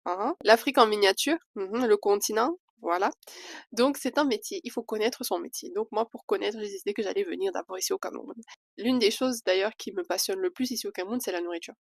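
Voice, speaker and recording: A woman's voice: mid-range voice, fast, gravelly, no room sound. Chatty woman talking to camera, dry sound. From Switzerland. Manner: chatty and candid, with hesitant fillers. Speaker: vlogger. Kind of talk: casual talking-to-camera vlog.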